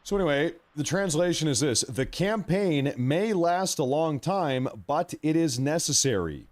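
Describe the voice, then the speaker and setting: A man's voice: fairly high pitch, lively intonation, unhurried. A man hosting a live stream, reacting informally and commenting on breaking news in a casual way.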